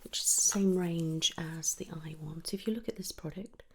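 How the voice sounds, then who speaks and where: A woman murmurs close to the mic as an ASMR make-up artist, slipping between breathy whisper and hushed speech. Her voice sits fairly low with a strong creak. She is gentle and attentive, over a faint hiss with soft clicks. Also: moderate pace. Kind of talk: ASMR roleplay, soft-spoken consultation.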